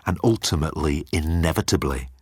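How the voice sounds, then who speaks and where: A man's voice: a bass voice, brisk, breathy edge, no echo, studio quality. A man voicing a pompous, menacing old alien commander who croons with sardonic deliberation in a sci-fi audiobook. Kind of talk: audiobook character acting.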